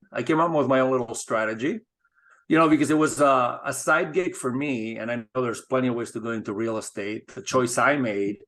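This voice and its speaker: A middle-aged man from Spain, a guest in an interview, talking in a measured, thoughtful way with frequent restarts. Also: mid-range voice, fairly bright tone. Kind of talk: casual interview talk.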